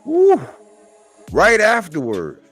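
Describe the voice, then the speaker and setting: Higher-pitched man chatting casually about sports. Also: huge pitch swings, slow, fairly bright tone, breathy edge, soft background music. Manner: conversational, colloquial, repeats phrases for emphasis. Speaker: boxing commentator on a live stream.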